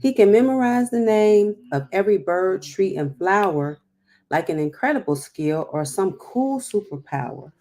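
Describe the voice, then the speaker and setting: A woman's voice: medium pitch, animated, moderate pace, dark tone. A woman hosting a storytime, reading a picture book aloud with chatty, encouraging, emphatic asides.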